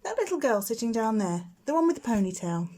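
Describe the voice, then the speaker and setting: Woman as a brisk, firm mother owl. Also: a little high, big pitch swings, dark tone.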